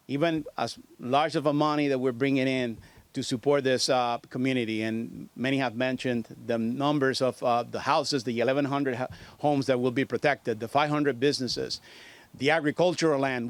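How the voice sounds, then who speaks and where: Man with a Puerto Rican accent, smooth clear voice, dry close sound, halting podium speech. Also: medium pitch, dry recording. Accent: Puerto Rican Spanish. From Puerto Rico. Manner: halting, many fillers and restarts. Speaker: state agency director. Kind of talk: public speech at a news conference.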